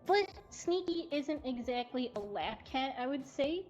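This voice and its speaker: A woman with a highish voice presents casually on a livestream, taking her time as she chats. She sounds giggly and informal. The audio is a little dull, with music playing softly behind her. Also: lively intonation, unhurried. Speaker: cat cafe host presenting adoptable cats. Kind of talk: casual unscripted livestream narration. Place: cat cafe. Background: faint music.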